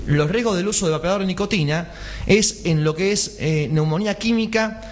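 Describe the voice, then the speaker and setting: A man's voice: sits high, brisk. A man, a pharmacist giving a radio health column, explaining step by step and asking rhetorical questions.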